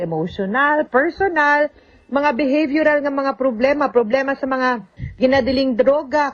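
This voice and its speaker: A woman's voice: fairly high pitch, no room sound. Cebuano woman radio host, dry dull sound. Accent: Cebuano Bisaya. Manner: conversational, emphatic, with interjections and English code-switching. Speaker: radio advice show host and lawyer. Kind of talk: radio advice hosting.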